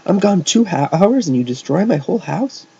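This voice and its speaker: A man's voice: medium pitch, animated, moderate pace, a little mellow, slight background noise, pitched above the performer's own voice, natural voice. A man voicing a domineering, exasperated middle-aged mother in a story reading, with slightly muffled, humming audio. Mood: angry, demanding. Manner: demanding, thundering, exasperated.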